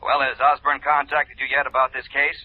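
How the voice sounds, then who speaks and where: A man playing a brisk, businesslike chief insurance investigator in a 1950s radio drama, direct and urging. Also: medium pitch, level tone, natural voice. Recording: muffled old radio, faint noise.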